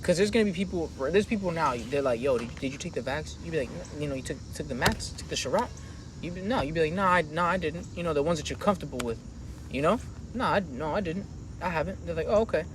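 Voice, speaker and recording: High-voiced man preaching on a street. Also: fast, slight background noise. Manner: animated, slangy, calls listeners man and brother. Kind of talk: impassioned outdoor street teaching.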